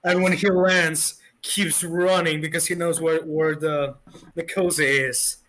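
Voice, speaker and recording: A man narrating as game master of a tabletop game, pausing often on fillers. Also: fairly high pitch, flat delivery, fairly bright tone. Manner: hesitant, full of fillers.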